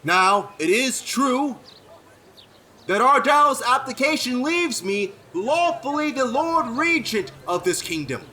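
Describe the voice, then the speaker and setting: A man playing a self-assured young nobleman in a fantasy audio drama, speaking in a formal, deliberate, oratorical way. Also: very high voice, sing-song, bright, forceful tone, a little hiss or hum, heavily acted. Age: young adult.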